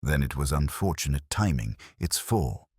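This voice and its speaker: A man's voice: rumbling low, lively intonation, moderate pace, darkish tone, slightly rough, no room sound, clean studio sound, heavily acted. A man voicing a polite, shrewd police inspector in a mystery story, questioning in an understated, courteous way. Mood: dry, probing.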